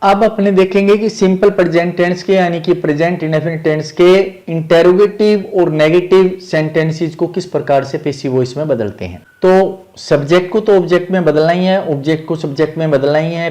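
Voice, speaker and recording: A man's voice: on the high side, a little fast, hardly any pauses, darkish tone. A man with an Indian accent explaining grammar as a teacher, methodically repeating each rule step by step.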